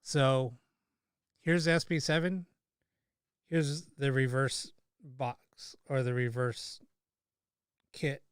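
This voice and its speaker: A man hosting a paranormal livestream, chatting casually with many fillers and restarts, his voice slightly hoarse. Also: mid-range voice, little pitch movement, extremely slow, pauses often, dry recording, clean studio sound. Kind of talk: casual live-stream chat.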